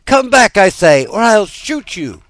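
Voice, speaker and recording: A man voicing an anxious young boy in a storybook fairy tale, calling out loudly and insistently. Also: high-pitched, sing-song, unhurried, no echo, studio quality, pitched above the performer's own voice, exaggerated voice. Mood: angry, threatening.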